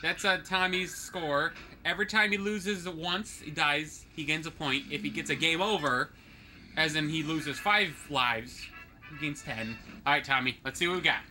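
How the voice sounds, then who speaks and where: A man co-hosting a game let's play, joking and teasing the player with animated commentary. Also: a little high, moderate pace, faint music behind. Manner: teasing, animated, exclaims and laughs often.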